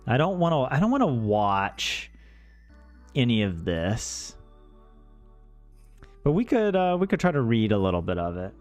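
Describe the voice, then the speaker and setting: Animated, joking man from the American South. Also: medium pitch, unhurried, long pauses, soft background music. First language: English. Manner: joking, rambling, self-deprecating. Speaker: streamer learning Finnish. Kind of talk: casual livestream chat.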